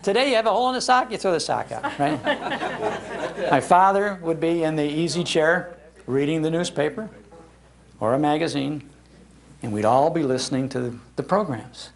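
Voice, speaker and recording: A man's voice: fairly high pitch, lively intonation, normal speed, vocal fry. An older man with an American accent giving a nostalgic talk in an emphatic storytelling manner. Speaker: radio historian and broadcaster giving a talk. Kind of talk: nostalgic storytelling to a live audience. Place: public library talk.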